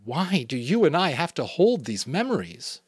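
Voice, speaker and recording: A man's voice: fairly high pitch, sing-song, moderate pace, breathy edge, no room sound, studio quality, pitched above the performer's own voice. A man voicing a lonely, troubled young boy who asks earnest questions.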